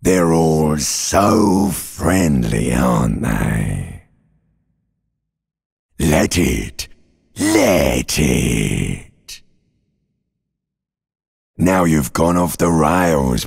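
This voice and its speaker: An ancient reptilian brain from a video game, menacing, seductive and darkly soothing. The growl is extremely husky and thick with gravel. It mocks in long drawn-out phrases that hang in a long cavernous reverb. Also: male voice, rumbling low, heavily acted. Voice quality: gravelly, raspy, guttural growl. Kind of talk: theatrical video game voice acting.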